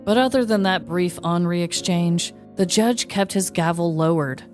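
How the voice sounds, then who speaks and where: Host reading a comedic true-crime script, low-pitched woman, dry wit, soft music bed. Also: moderate pace. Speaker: podcast host and storyteller.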